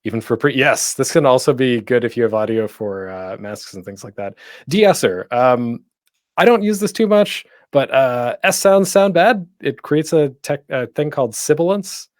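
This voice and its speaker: A man's voice: mid-range voice, animated, normal speed, no room sound, studio quality. A man presenting a tutorial in a casual, chatty way with light humor. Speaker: panel host giving a podcasting tutorial.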